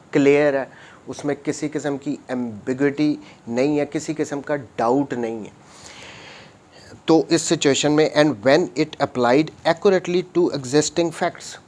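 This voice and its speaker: A man's voice: mid-range voice, darkish tone. A man lecturing methodically, reading out formal text and explaining it with repetition, mixing two languages. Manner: methodical, repetitive, switches between Urdu and English. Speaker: law lecturer. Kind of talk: explanatory lecture, reading out and paraphrasing legal articles.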